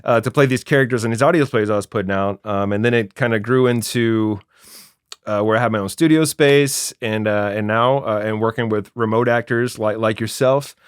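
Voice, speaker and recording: A man's voice: lowish voice, a little fast, smooth, no room sound, clean studio sound. A man hosting a podcast, asking interview questions in casual conversation. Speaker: podcast host.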